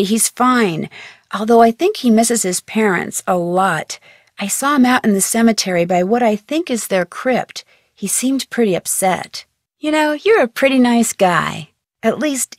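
A curious young detective girl from a mystery video game, brisk and a little wry. Her voice sits fairly high, recorded close and dry in a studio booth with no room sound. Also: dry recording. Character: young female amateur detective, curious and plucky. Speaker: game character, teen detective.

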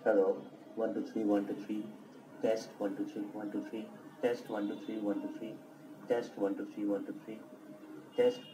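A man's voice: fairly low pitch, level tone, normal speed. A man doing a microphone test, heard as a recording played back through a small speaker. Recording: noisy, echoey playback through small speaker.

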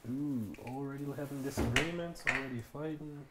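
A man hosting a casual livestream, teasing his guests and reacting loudly. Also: medium pitch, moderate pace, very noisy. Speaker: streamer host. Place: home kitchen.

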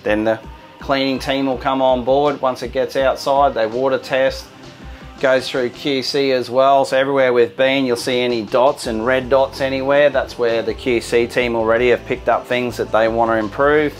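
A man in his forties leads a relaxed, upbeat tour of a caravan production line. His voice sits in a middle range, and faint music runs underneath. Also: mid-range voice, normal speed. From Australia. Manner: upbeat, informal, gestures at fittings while walking. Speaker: company head giving a factory tour. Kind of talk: walk-through tour presentation. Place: large indoor caravan factory and van interior.

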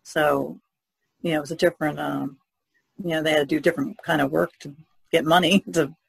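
A woman's voice: sits low, fairly even intonation, fairly quick, vocal fry, breathy edge, no room sound, rough recording. A woman taking part in a casual podcast discussion as a panelist.

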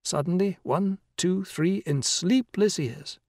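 Storybook stage boy: male narrator gives grave, declamatory verse delivery in a raised pitch. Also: a little mellow, no room sound, clean studio sound, full character voice. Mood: solemn declamation. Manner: declamatory, measured. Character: boy actor solemnly declaiming philosophical verse.

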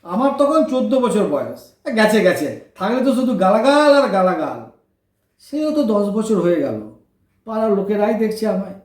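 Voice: mid-range voice, very animated, fairly quick, darkish tone, close to an everyday voice. A cocky, good-hearted young street lad with a Kolkata accent , as acted in a radio play. The delivery is slangy and breezy, and the voice rings with room echo. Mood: dismissive. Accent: Kolkata Bengali. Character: brash, warm-hearted orphaned Kolkata street lad of twenty-four. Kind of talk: colloquial audio drama acting.

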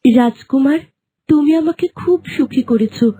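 A woman voicing a gentle, forgiving young princess in a fairy-tale cartoon, earnest and plaintive. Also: high voice, normal speed, close to an everyday voice. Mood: tender sorrow. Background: background music.

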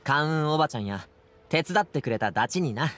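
A man's voice: a little high, expressive, fast, a bit bright. A man playing an earnest, bashful teenage son in an anime game, casual and boyish, with a hesitant manner. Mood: warm, upbeat. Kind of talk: Japanese anime game dialogue acting.

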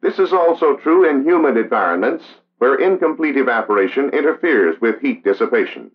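Expressive American narrator, vintage training film. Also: male voice, mid-range voice. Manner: measured, solemn, emphatic. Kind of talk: scripted 1960s documentary narration. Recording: dull vintage film soundtrack. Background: music.

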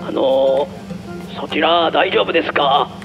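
A man's voice: fairly high pitch, takes it slowly, gravelly, over music. A man playing an awkward, smitten middle-aged character in a radio drama, hesitant and fretful, heard through an intercom speaker. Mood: worried. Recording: dull intercom speaker sound.

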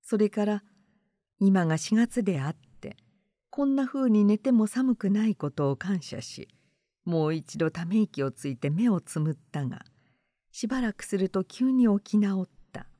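A woman narrator reading literary fiction aloud in a measured, composed manner. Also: medium pitch, lively intonation, dark tone, dry recording, studio quality.

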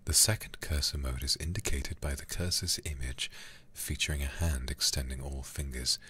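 A man livestreaming a game in an intimate ASMR style, hushed and often whispering close to the microphone. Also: a bass voice, moderate pace, husky. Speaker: livestream host playing a video game.

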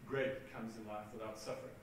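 A man in his fifties giving a relaxed, chatty talk to an audience in a large theatre, laughing often as he takes questions. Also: lowish voice, brisk. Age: 50s. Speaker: adventurer and guest speaker. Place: large theatre hall with tiered seating. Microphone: far camera, no mic visible. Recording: very echoey hall from far off, noisy.

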